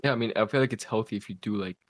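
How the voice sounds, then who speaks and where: A young man in his twenties tells his story as a podcast guest over a call. He talks very fast in a lowish voice, rambling and leaning on "like". The sound is close and dry, with no room around it. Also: no room sound. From Cuba, raised in Pennsylvania. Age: 20s. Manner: rambling, heavy use of "like". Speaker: podcast guest, content creator. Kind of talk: casual storytelling. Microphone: podcast call mic.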